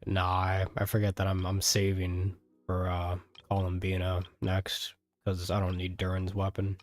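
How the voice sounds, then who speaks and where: A man's voice: low voice, completely flat delivery, measured pace, no echo. A man streaming a game, chatting casually and musing to himself with some mild swearing. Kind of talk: casual stream chat while playing. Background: faint game music.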